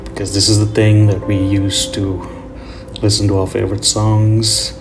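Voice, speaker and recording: Low, even-toned man over guitar music. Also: normal speed. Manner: nostalgic, reflective. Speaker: host of a drawing tutorial channel. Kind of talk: casual rambling voiceover.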